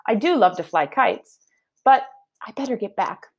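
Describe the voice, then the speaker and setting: A woman's voice: on the high side, lively intonation, moderate pace, a little hiss or hum, pitched above the performer's own voice. A woman voicing a cheerful, literal-minded storybook housekeeper who is eager to please. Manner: bright, agreeable, naive. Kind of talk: children's storybook read-aloud.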